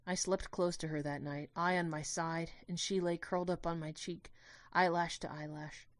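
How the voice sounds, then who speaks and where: A woman giving a dramatic first-person narration of a scripted story, in a measured, literary and expressive way. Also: on the low side, dry recording.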